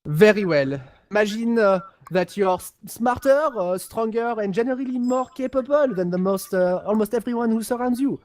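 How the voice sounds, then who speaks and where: A man voicing a pompous, condescending highborn aristocrat of a galactic empire in a halting, haughty delivery, as in an amateur role-play of game lore. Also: darkish tone, close to an everyday voice.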